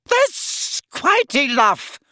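A man reading an audiobook, voicing a flustered, fussy older female shopkeeper in a high falsetto. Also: wildly swooping pitch, drawn-out words, pushed and bright, no room sound, clean studio sound, full character voice. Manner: high fluttering falsetto, anxious.

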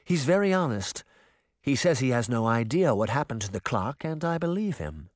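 A man's voice: medium pitch, sing-song, moderate pace, no echo, clean studio sound, lightly acted. A man voicing a glamorous young witch woman who talks lightly and casually. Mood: breezy.

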